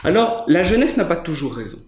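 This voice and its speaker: A man's voice: on the high side, sing-song, a little fast. A man and local election candidate, speaking earnestly and persuasively on a political panel. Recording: echoey studio with faint noise.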